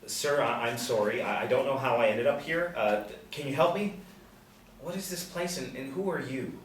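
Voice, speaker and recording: Man reading as halting, bewildered man, roomy sound. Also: mid-range voice, close to an everyday voice. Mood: confused, hesitant. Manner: halting, stammering. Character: bewildered, polite, wounded man lost in a strange place. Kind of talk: script read aloud at a table reading. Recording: roomy, distant mic with faint noise.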